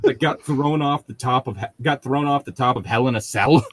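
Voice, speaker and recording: A man's voice: medium pitch, a little fast, slightly rough, no room sound. A man chatting casually and jokingly with friends, prone to breaking into laughter. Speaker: co-host reading a book aloud with friends. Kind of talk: casual joking group chat.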